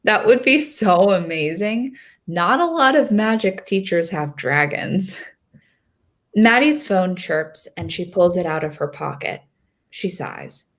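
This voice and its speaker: A woman playing a hopeful, nervous young girl who tells her own storybook tale in a deliberate, expressive reading. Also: fairly low pitch. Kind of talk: first-person storybook narration.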